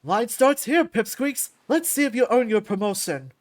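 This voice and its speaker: A woman's voice: mid-range voice, expressive, unhurried, a little mellow, faint music behind. A woman voicing a huge, boisterous male beast fighter in a visual novel, jeering and commanding with a showman's swagger. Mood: taunting.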